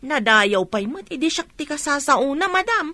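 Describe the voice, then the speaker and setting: A woman's voice: high voice, very animated, moderate pace, bright, forceful tone, dry recording, full character voice. A woman with a Filipino accent playing a timid, polite household helper in a radio drama. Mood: anxious, deferential.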